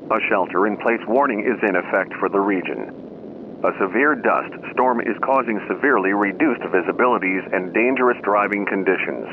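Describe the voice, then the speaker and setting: A man reading a scripted emergency announcement as a radio station announcer, in a formal, measured manner. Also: on the low side. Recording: dull lo-fi radio sound, loud noise.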